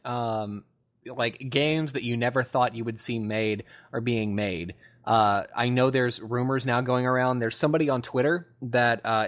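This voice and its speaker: A man co-hosting a casual gaming podcast, talking conversationally and acting out imagined quotes as he goes. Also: medium pitch. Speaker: podcast co-host. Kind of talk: casual video game podcast discussion.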